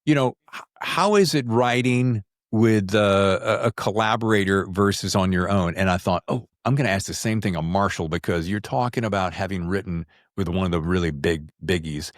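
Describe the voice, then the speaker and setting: Man, podcast interviewer, lower voice, dry close sound, conversational, meandering questions. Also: moderate pace, no room sound. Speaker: podcast host.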